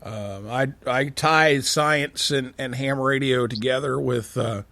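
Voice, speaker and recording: A man hosting a call-in radio show in a casual, halting, informal way. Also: mid-range voice, creaky, pushed and bright, dry recording. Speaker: radio show host, science teacher.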